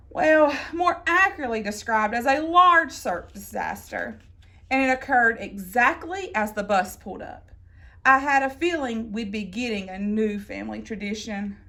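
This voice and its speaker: A woman reading a children's picture book aloud in an expressive, theatrical way, drawing out words for emphasis. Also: fairly high pitch. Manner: theatrical, deliberate phrasing with drawn-out emphasis. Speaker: storybook reader.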